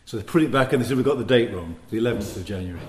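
A man preaching in a teaching sermon, speaking in deliberate, emphatic short phrases with pauses between them. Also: fairly low pitch, animated, darkish tone. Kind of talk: sermon teaching with scripture and anecdotes.

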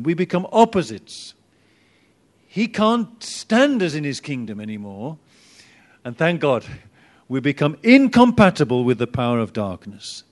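A man teaching an informal Bible class, chatty and self-deprecating, with sudden bursts of emphasis. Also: mid-range voice.

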